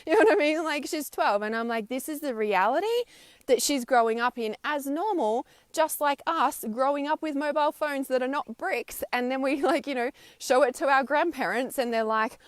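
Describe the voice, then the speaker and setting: A woman's voice: high-pitched, normal speed, no room sound. A woman in her thirties talking casually outdoors in an emphatic, chatty way, often tagging her points with "right?" and "okay?". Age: 30s. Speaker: spiritual vlogger. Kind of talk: casual livestream monologue. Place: outdoors, wind audible.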